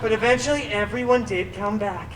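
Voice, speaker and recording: A man's voice: sits high, a little fast, fairly bright tone, some chatter behind, a little hiss or hum. A man playing a flippant, theatrical antihero who tells a comic fairy tale to a live audience with campy asides. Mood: smug, joking. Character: cheeky, theatrical male antihero telling his own fairy tale. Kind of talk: live comic storytelling show. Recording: echoey theme park PA, distant, music behind.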